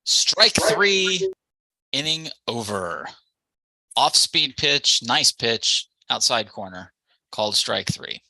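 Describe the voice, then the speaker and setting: A man's voice: mid-range voice, big pitch swings, slow pace, pushed and bright, no echo, low-quality recording. A man calling a live baseball game in short, punchy phrases. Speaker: baseball broadcast announcer. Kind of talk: live sports commentary.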